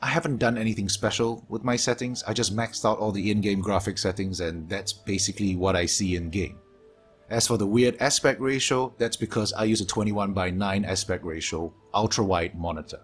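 A man from Singapore with a Singaporean English accent, reading a scripted gaming Q&A voice-over in a steady, measured way from his home hobby room, his s sounds sharp and a low hum behind him. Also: soft background music. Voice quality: strong sibilance, low hum from aquarium pumps. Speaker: gaming YouTuber.

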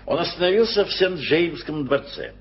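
A fussy kindly editor. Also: male voice, fairly high pitch, sing-song, moderate pace, slightly rough, full character voice. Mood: wistful. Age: older. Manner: fussy, wistful, rambling. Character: kindly older male newspaper editor. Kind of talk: 1980s radio play acting. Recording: dull old radio play recording.

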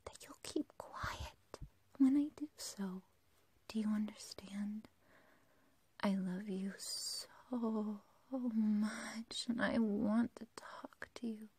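A woman's voice: medium pitch, heavily acted. A woman playing an obsessive, lovestruck yandere girl kidnapper in a whispered, intimate, possessive ASMR roleplay. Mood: pleading, lovesick. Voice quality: breathy, whispery. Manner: slow, intimate, halting, possessive.

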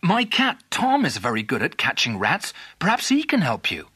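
A man's voice: fairly high pitch, huge pitch swings, moderate pace, sharp tone, slightly rough, no room sound, clean studio sound, pitched above the performer's own voice, heavily acted. A man voicing an earnest, hopeful young lad in a children's story, polite and eager.

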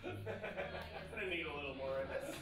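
A man's voice: fairly high pitch, expressive, slow pace, over loud music. A man tossing out casual heckling banter in a live venue, away from the microphone. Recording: distant, very echoey, noisy live room with crowd.

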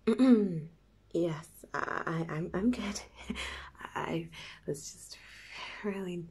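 A woman playing a nervous, giddy young woman in an ASMR roleplay, stammering softly and often whispering in a halting, giggly way. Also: lowish voice, exaggerated voice. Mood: flustered, happy. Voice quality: soft, breathy, often whispered. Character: nervous, giddy young woman before and after first kiss.

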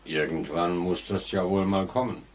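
An actor playing a sinister, laconic elevator operator in a 1940s-style radio play, speaking tersely and with calm menace. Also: male voice, deep voice, moderate pace. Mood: flat, unconcerned. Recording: noisy vintage radio drama recording.

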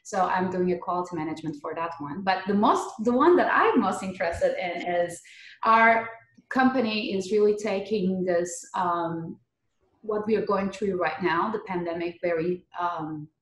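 A woman's voice: lowish voice, moderate pace. A woman in her thirties with a Turkish accent, giving conversational interview answers as an engineer on a podcast. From Turkey. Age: 30s. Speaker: podcast guest, geotechnical earthquake engineer. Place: living room at home, indoors. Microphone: computer mic on a video call. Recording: roomy echo on video call.

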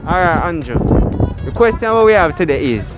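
A man's voice: fairly high pitch, sing-song, fairly quick. A young man with a Jamaican accent, hosting casual street interviews for his channel and repeating hype catchphrases. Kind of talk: casual vox-pop interviewing. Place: outdoors on town streets and in shops. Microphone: handheld phone held near mouth. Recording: muffled lo-fi with loud background music.

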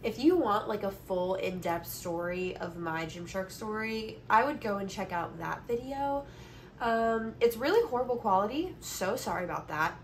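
A woman in her twenties with a congested voice, chatting casually and informally as a vlogger filming on a phone in a bedroom. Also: medium pitch. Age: 20s. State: congested from allergies. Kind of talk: casual haul chat. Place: bedroom, indoors. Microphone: phone camera at about arm's length or further. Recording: noticeable background noise, phone recording.

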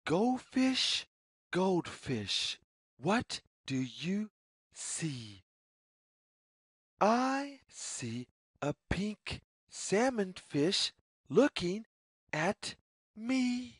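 A woman reads a picture book aloud for young children at a very unhurried, deliberate pace. Her mid-pitched voice swings up and down in a sing-song pattern, with a slightly husky edge. Each phrase is set out word by word. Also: very animated, no room sound, clean studio sound. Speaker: story reader.

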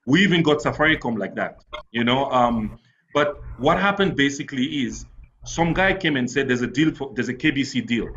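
A man's voice: mid-range voice, animated, moderate pace, a bit bright, a little hiss or hum. Earnest man from Cameroon on video call. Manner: earnest, frank, repeats phrases for emphasis. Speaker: entrepreneur panelist. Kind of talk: candid storytelling on a webinar panel. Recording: dull lo-fi video call audio.

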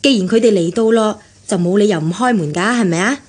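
An actress playing a chatty, fussy household servant with Hong Kong Cantonese speech in a radio drama. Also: female voice, mid-range voice, quick pace, darkish tone, breathy edge, lightly acted. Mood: advising.

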